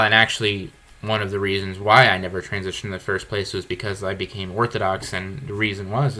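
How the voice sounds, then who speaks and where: A deep-voiced male podcast host speaking with a bright, forceful edge despite his low register. He reflects candidly and earnestly, often circling back to restart a phrase. The talk is unscripted and conversational.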